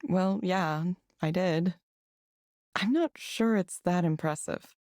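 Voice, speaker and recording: A woman's voice: fairly low pitch, slow, darkish tone, no room sound, clean studio sound. An actress playing a reserved, self-doubting woman in a visual novel, understated and a little hesitant. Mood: downbeat, reserved.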